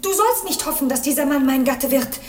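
A woman's voice: high-pitched, animated, brisk, a little mellow. A woman playing a proud, defiant young princess, declaiming haughtily in a theatrical radio play. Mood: haughty.

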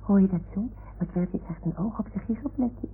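A woman's voice: medium pitch, fairly quick, husky. A woman voicing a naive, curious little toy dog in a radio play, with a childlike, wondering manner. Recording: noisy, tinny old radio recording.

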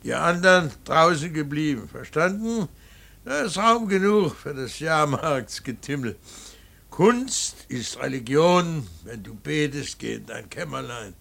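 An actor playing a stern, devout older painter and father in a radio play, halting and brooding, then preaching with emphasis. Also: fairly high pitch, expressive, fairly bright tone, full character voice. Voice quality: gravelly, husky. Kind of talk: German radio play acting.

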